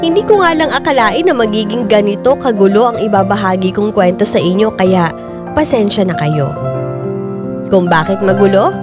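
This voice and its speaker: An actress narrating in the first person as a woman in her thirties from Cavite, telling her story in a measured, confessional way. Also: mid-range voice, lively intonation, over music, close to an everyday voice. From Cavite, Philippines. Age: 30s. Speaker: radio drama narrator. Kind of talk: scripted narration.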